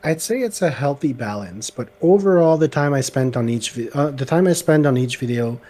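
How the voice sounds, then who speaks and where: French-speaking man, slightly gravelly, laptop mic. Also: mid-range voice, lively intonation, a little fast, mellow. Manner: hesitant, many "like" fillers. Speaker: guest video creator. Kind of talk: casual podcast chat. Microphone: laptop microphone.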